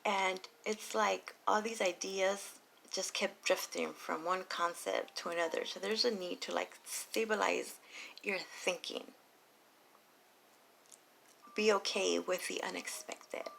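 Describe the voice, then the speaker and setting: A woman reading tarot late at night, talking softly and low and slipping into whispers. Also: steady tone, takes it slowly, creaky, slight background noise, rough recording. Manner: soft and low, drifting into whispers. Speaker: tarot reader.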